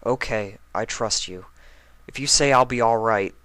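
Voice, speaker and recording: A man plays a young stallion in a story reading. He sounds hesitant and trusting, earnest in delivery, a little above the reader's low register, in a dry recording. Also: moderate pace, pushed and bright, no echo. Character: nervous, trusting young male pony.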